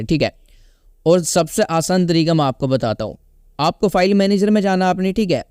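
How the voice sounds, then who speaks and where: A man's voice: fairly high pitch, darkish tone, clean tone, dry recording, studio quality. A man hosting a casual step-by-step tutorial, instructing and often checking in with the listener. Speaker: tutorial host.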